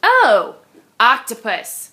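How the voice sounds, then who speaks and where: A woman reads rhymes from a children's picture book in a bright, forward voice. She takes her time, with a very expressive, sing-song storyteller's delivery that swings widely in pitch. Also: very slow. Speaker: read-aloud storyteller for young children.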